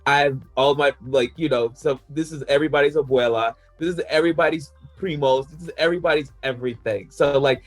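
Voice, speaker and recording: A man's voice: fairly high pitch, fairly quick, hardly any pauses, soft background music. A man chatting casually on a podcast panel, rambling through his opinions with lots of "like" fillers and the odd laugh. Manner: rambling, heavy use of "like" fillers; laughs between points.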